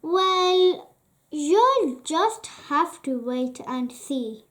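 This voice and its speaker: Woman reading a kids storybook, really high voice, super slow n careful w lots of pauses. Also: animated, fairly bright tone. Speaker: children's storybook reader, channel host. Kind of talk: read-aloud children's story narration.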